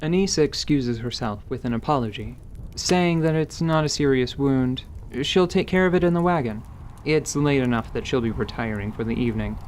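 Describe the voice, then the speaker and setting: A man's voice: medium pitch, moderate pace. Narrator, man, middle register, scripted storytelling for a fantasy radio play, faint background noise.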